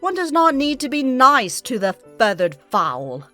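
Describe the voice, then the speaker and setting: A woman plays a lofty pony princess who talks like old royalty and gives orders as if everyone must obey her. her voice sits high and bright, and it swings up and down a lot as she commands. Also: sing-song, unhurried, soft background music, exaggerated voice. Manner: imperious, old-fashioned phrasing. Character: haughty royal pony princess, archaic speech. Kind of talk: fan comic dub.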